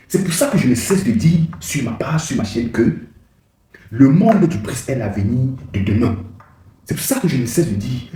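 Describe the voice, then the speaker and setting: A man's voice: medium pitch, warm tone. Impassioned man in an echoey room. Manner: rhetorical, repeats phrases, rises to emphatic peaks. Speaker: channel host giving political commentary. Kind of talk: impassioned speech to camera.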